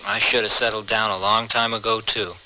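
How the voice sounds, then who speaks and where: A man's voice: on the low side, flat delivery, fairly quick, bright, forceful tone. A man playing a regretful, folksy young ex-convict cowboy in an old-time radio western. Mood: regretful, frank. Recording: slightly noisy radio drama recording.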